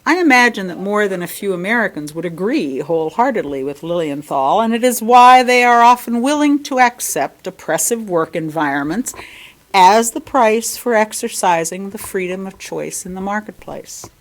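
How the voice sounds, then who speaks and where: A woman reading a written sermon aloud in formal, measured phrases, with deliberate emphasis and pauses. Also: medium pitch, animated. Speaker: lay reader giving a sermon. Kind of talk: sermon read from a written text.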